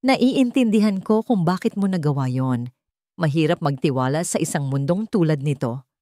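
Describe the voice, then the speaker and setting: A woman voicing a gentle, forgiving woman executive who speaks sincerely and tenderly in an audio romance drama. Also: low voice, expressive, moderate pace, no room sound, clean studio sound.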